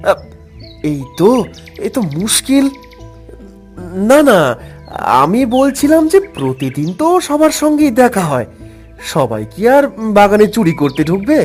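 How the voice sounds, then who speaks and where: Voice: medium pitch, big pitch swings, a little slow, long pauses, a little mellow, soft background music. একজন কণ্ঠশিল্পী রূপকথার কার্টুনে ফাঁকিবাজ, ভীতু অথচ বড়াই করা বাগানরক্ষীর চরিত্রে অতিরঞ্জিত, আমতা আমতা ভঙ্গিতে কণ্ঠ দিচ্ছেন।. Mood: flustered. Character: sleepy shirking garden guard, nervous, boastful, obsequious. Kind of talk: animated fairy tale voice acting.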